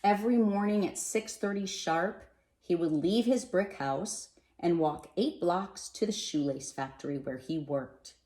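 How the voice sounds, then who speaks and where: A woman reading a children's picture book aloud in an expressive, animated way, with dramatic pauses and emphasis. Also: lowish voice. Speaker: storybook reader. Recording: roomy, echoey sound.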